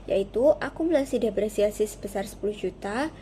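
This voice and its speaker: Young woman presenting over faint noise, bright voice. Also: medium pitch, animated, fairly quick. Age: young adult. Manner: formal, methodical. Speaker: student presenter. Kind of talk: prepared class presentation, explaining step by step.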